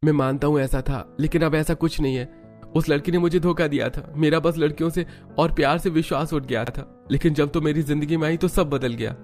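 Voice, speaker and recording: A man's voice: fairly high pitch, fairly even intonation, fairly quick, a little mellow, faint music behind, voice pushed up, close to an everyday voice. A man voicing an earnest, lovelorn young husband in a family drama, with a pleading delivery.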